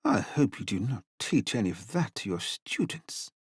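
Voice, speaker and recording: An actor playing a grandiloquent, paternal older policeman with flowery wording and dramatic pauses in an audiobook drama. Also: fairly low pitch, sing-song, slightly rough, no room sound, clean studio sound. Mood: grave, theatrical. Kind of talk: full-cast audiobook acting.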